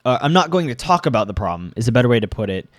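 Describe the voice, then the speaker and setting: A young man ranting to camera, sick and a bit congested, halting and restarting as he gets agitated. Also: medium pitch, big pitch swings, no room sound, clean studio sound. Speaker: vlogger. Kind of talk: ranting commentary.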